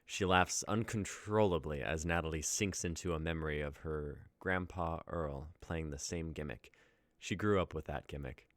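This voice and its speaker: A man's voice: rumbling low, moderate pace, dry recording, clean studio sound. A young man reading scripted story narration and stage directions as the narrator of a dramatic reading. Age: young adult.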